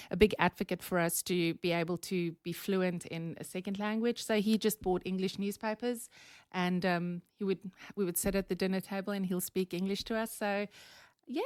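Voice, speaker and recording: A woman's voice: medium pitch, clear, resonant tone, dry recording, studio quality. Afrikaans-accented woman telling her story. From South Africa. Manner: chatty, laughs often, many um fillers. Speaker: podcast guest. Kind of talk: conversational interview storytelling.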